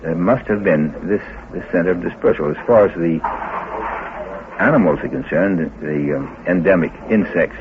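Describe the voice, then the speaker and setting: A man speaking as a scientist being interviewed, phrasing his answers haltingly and deliberately in short bursts. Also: low voice, background noise. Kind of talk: unscripted expert interview. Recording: phone-like old recording, chatter behind.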